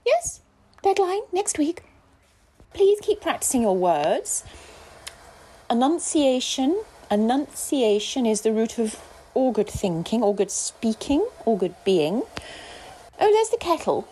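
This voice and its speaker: A woman playing a croaky, prim old schoolmistress. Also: very animated, takes it slowly, warm tone, a little hiss or hum. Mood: dotty. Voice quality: croaky, theatrical. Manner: swooping pitch, whispered asides. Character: fussy elderly female poetry teacher, dotty and prim.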